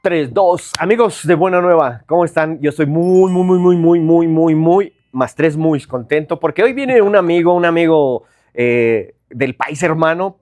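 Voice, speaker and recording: A man's voice: fairly high pitch, darkish tone, no echo, studio quality. A Mexican man hosting a podcast, chatting in a playful, upbeat way with his guest. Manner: upbeat and playful, drawn-out enthusiastic intro. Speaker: podcast host. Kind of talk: casual interview chat.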